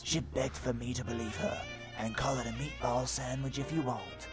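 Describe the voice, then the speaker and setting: Guy doing a dopey hardboiled cartoon detective, deadpan noir monologue, super self-important, music playing loud behind him. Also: medium pitch, moderate pace, close to an everyday voice. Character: self-important, dim hardboiled pony-world private eye. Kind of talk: film noir detective parody narration. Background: background music.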